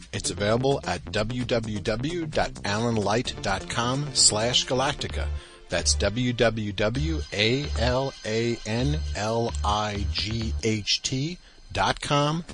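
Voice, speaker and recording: A man's voice: medium pitch, fairly bright tone. A man reading a scripted promo for a podcast.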